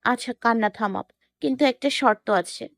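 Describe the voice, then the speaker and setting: High-voiced lovesick young woman, acted by a woman. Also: normal speed, a bit bright, no room sound, clean studio sound. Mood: coaxing. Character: tearful, lovesick young woman, clingy and demanding. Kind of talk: acted dialogue in an audio love story.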